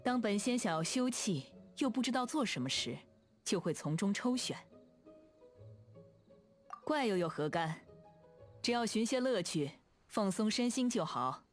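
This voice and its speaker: A woman's voice: medium pitch, expressive, unhurried, pauses often, natural voice. A woman voicing a proud, aloof immortal adept in a video game, with a composed, lofty manner. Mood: proud, playful. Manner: lofty, archaic self-reference, composed. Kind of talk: game character voice line. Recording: background music behind the voice. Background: music.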